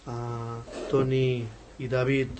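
A man's voice: mid-range voice, little pitch movement, slow pace, low-quality recording. A man in his sixties hosting a podcast interview, friendly and joking, prompting his guests with short questions. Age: 60s. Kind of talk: conversational interview.

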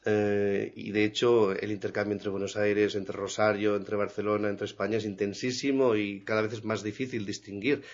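Chatty Spaniard radio host, lo-fi sound. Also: male voice, fairly low pitch, little pitch movement, moderate pace, hardly any pauses. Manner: chatty, prompting questions. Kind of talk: radio talk and phone interviews. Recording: dull lo-fi radio recording.

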